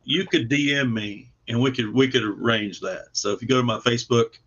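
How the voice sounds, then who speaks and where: A man with a mid-pitched voice talks casually as a guest comic creator. The audio is dull and lo-fi, and he explains his own project in an informal, conversational way. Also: a little fast, fairly bright tone.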